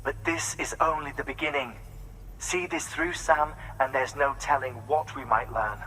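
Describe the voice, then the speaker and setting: Bright mid-pitched voice, faint noise, male actor as hopeful game visionary, urging, purposeful. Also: steady tone, normal speed, natural voice, poor audio. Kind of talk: video game cutscene speech.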